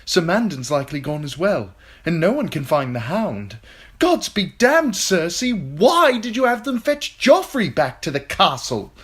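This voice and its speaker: A man voicing a wounded young knight who shouts and pleads in a frantic rush. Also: high voice, sing-song, measured pace, breathy edge, pitched above the performer's own voice, full character voice. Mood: panicked.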